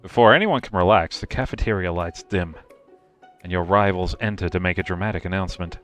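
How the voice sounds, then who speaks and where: Casting: male streamer, lowish voice, playful theatrical game narrator over faint music. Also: a little fast, fairly bright tone. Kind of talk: game narration read aloud on livestream.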